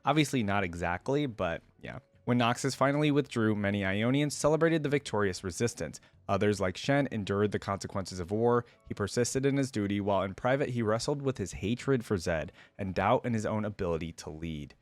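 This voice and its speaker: A man with a fairly low voice hosts a lore reaction in a casual way, reading story text and commenting on it. The sound is dry, with very little room in it. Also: lively intonation, brisk, hardly any pauses, dry recording. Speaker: reaction video host reading lore aloud.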